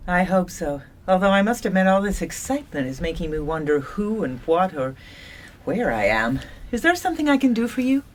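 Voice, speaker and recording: A woman's voice: on the low side, very animated, brisk. A woman acting in a radio drama as a gracious, flirtatious middle-aged widow with a genteel, teasing manner. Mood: gracious, coy.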